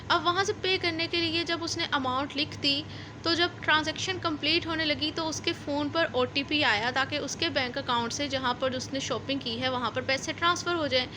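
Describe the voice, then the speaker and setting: A woman with a high voice and a Pakistani accent teaches in an explanatory lecture style. She repeats and rephrases her points informally for learners. Clear background noise sits behind her voice. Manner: reads formal text slowly, then explains informally with repetition. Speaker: law lecturer.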